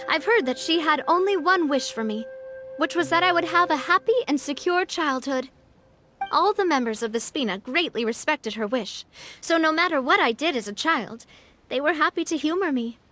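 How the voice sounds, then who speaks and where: A woman playing a refined, warm-hearted young leader in a video game, talking in a gentle, earnest, thoughtful way. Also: high voice. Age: young adult. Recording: background music.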